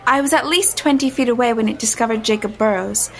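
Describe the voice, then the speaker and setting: A woman's voice: on the high side, fast talker, natural voice, rough recording. An actress playing a wary, polite young woman in a gothic audio drama, with a hesitant, careful and genteel manner. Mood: anxious questioning. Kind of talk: audio drama acting with narration.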